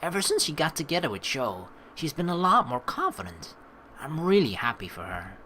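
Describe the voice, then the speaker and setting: A man reading a game aloud as a let's play host, with excitable commentary and dramatic narration. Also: a little high, normal speed, slight background noise.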